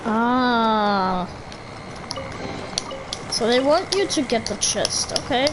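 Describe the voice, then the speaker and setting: A woman's voice: a little high, lively intonation, drawn-out words, over music, rough recording. A woman giving casual commentary as she plays a video game.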